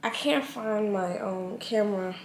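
A woman's voice: medium pitch, unhurried. Young woman vlogger, casual and slangy, close camera mic, road noise behind her. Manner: chatty, slangy, encouraging. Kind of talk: casual vlog talk to camera. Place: car, then empty apartment. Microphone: handheld camera close up. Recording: noisy car then echoey room.

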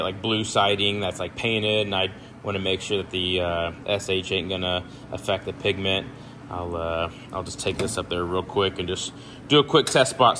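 A young man casually walking through his work trailer, talking in a relaxed, rambling way with lots of ums. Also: lowish voice, slight background noise. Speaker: pressure washing business owner. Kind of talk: casual walkthrough tour. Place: indoor metal trailer, shop garage. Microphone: handheld phone camera.

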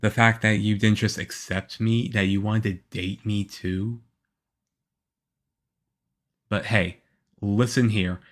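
A man playing a shy, moody, lonely young half-vampire boyfriend in an ASMR roleplay, with a hesitant, halting, earnest delivery. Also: lowish voice, level tone. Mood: wistful, earnest.

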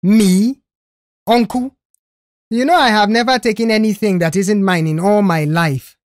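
A woman's voice: mid-range voice, unhurried, darkish tone, no room sound, clean studio sound, voice pushed up, natural voice. A woman voicing a theatrical, indignant young male troublemaker in an audio story, protesting dramatically. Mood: shocked, protesting.

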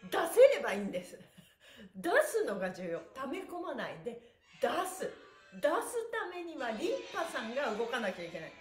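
A middle-aged woman leading a live stretching class with cheerful, polite and playful coaching, chatting and counting as she goes. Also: high-pitched. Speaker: stretching instructor and pantomime performer. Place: small room indoors, on an exercise mat. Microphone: camera or phone a short distance away. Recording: roomy, echoey distant device mic.

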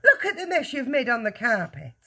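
A woman voicing a scornful, bossy middle-aged mother in a children's storybook, shrill and scolding. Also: big pitch swings, normal speed, fairly bright tone, husky. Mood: shrieking, outraged.

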